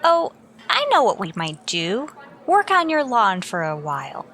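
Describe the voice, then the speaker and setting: Animated, wry schoolteacher played by a woman. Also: a little high, unhurried, full character voice. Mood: sly, wry. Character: wry, lovestruck female high school teacher, adult. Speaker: lead actor and narrator. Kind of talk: old-time radio comedy acting, first-person narration.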